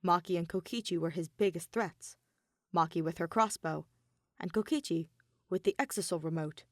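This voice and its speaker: A woman reading dramatic fan fiction narration in a deliberate way, pausing between phrases. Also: on the low side, no room sound, studio quality. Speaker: podfic reader.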